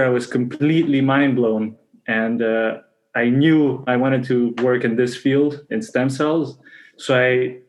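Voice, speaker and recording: Earnest man from North Macedonia. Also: mid-range voice. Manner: earnest, enthusiastic, with many fillers. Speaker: PhD graduate. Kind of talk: panel talk.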